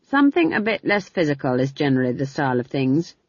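A woman's voice: sits low, very animated, fast, no room sound, muffled recording, heavily acted. A woman voicing a stern, dismissive old witch with a blunt, folksy, no-nonsense manner in an audiobook. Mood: dismissive, brusque. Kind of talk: audiobook character voice.

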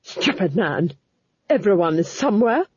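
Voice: medium pitch, big pitch swings, moderate pace, darkish tone, slightly rough, dry recording. An audiobook reader voicing a domineering, cold duchess who speaks in a commanding, cutting way, in a dull lo-fi recording.

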